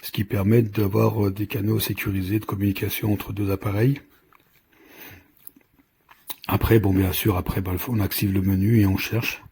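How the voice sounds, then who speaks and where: A man giving an unscripted, step-by-step product demonstration as a reviewer, with a hesitant, halting delivery and frequent self-interruptions. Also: lowish voice, little pitch movement, a little mellow. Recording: clearly noisy recording with clicks.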